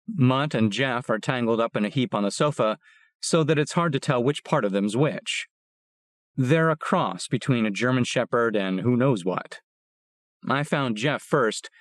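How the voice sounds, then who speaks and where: A man reading a first-person audiobook in a steady, plain, measured way. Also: medium pitch, no echo, clean studio sound. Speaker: audiobook narrator.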